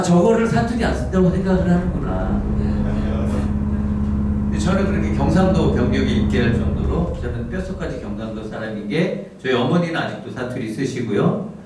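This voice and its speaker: A middle-aged man from the Gyeongsang region who speaks with a standard Seoul-area accent, preaching in a soft, humorous storytelling manner. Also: mid-range voice, far from the mic. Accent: standard Seoul-area Korean. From Gyeongsang region, South Korea. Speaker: pastor. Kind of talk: lecture-style sermon. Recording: echoey hall miked from afar, background noise.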